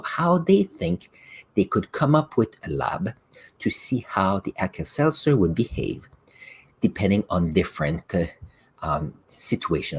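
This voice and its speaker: Low, husky-voiced man hosting a podcast. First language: French. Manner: reflective, explains with examples, occasional restarts. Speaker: podcast host and science teacher. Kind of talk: solo podcast talk.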